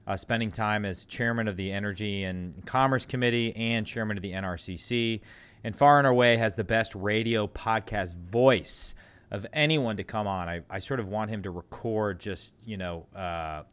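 A man hosting a podcast interview, joking and relaxed, with frequent ums and uhs. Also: lowish voice, clear, resonant tone. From Midwest. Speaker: podcast host, congressman. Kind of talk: casual interview.

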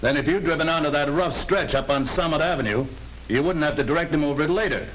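An actor playing an earnest middle-aged American sales manager in a 1940 training film, speaking in a firm, instructive way. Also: male voice, a little high, fast, a bit bright, close to an everyday voice. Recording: noisy old 1940 film soundtrack.

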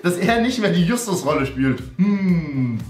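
Young male reaction host, fairly high voice, animated casual chat, music underneath. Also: a little slow. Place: small indoor gaming room. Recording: roomy sound with music underneath.

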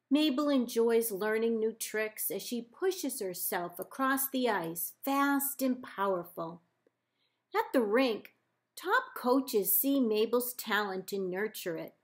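Slow, higher-voiced woman reading a storybook. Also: expressive. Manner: measured, deliberate phrasing with pauses. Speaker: librarian reading aloud. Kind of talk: read-aloud children's picture book narration. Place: indoors, book held up to camera.

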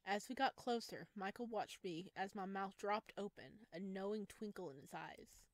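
A woman narrates a visual novel as a streamer would, reading expressively. Her pitch sits in the middle range for a woman. The sound is close and dry. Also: moderate pace, no room sound.

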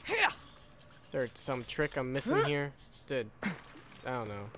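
A man's voice: mid-range voice, wildly swooping pitch, takes it slowly, bright, forceful tone, a little hiss or hum. A man giving casual live gameplay commentary, muttering questions to himself and swearing mildly. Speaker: gaming YouTuber. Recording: faint game sound behind commentary. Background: video game sound.

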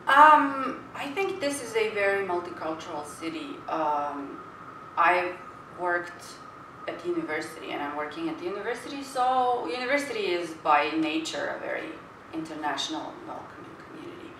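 A woman's voice: fairly low pitch, very animated, normal speed, sharp tone. A woman in her forties from Serbia, answering interview questions thoughtfully and correcting herself as she goes. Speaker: interviewee, university worker. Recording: roomy, distant mic, clear noise.

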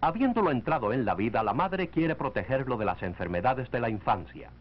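1940s film narrator, man, expressive swooping pitch. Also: brisk. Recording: dull lo-fi 1940s film soundtrack.

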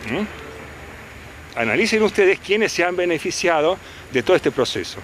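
A man's voice: high voice, sing-song, measured pace, fairly bright tone, poor audio. A man in his sixties, a defense lawyer talking to reporters outdoors into handheld mics, insistent and full of rhetorical questions. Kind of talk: press interview. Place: outdoors by a detention unit. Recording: outdoor street noise.

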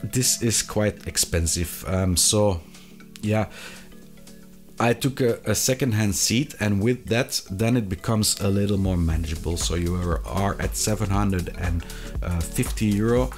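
A man in his thirties presenting a product review to camera, with a hesitant, halting delivery and frequent pauses. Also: fairly low pitch, faint music behind. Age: 30s. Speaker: video reviewer of sim racing gear. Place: small indoor hobby room.

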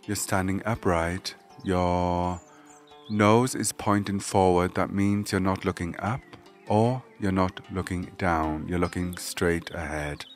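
A man leading a guided meditation, giving gentle, measured spoken instructions. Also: sits low, fairly even intonation, soft background music.

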